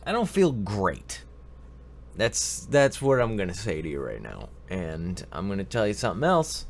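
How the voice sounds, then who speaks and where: A man's voice: deep voice, wildly swooping pitch, moderate pace, vocal fry. Stuffy-voiced man hosting a live stream. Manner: rambling, joking, greets chat viewers by name. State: stuffy-nosed with a cold. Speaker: livestream advice show host. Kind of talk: casual live-stream chat with viewers.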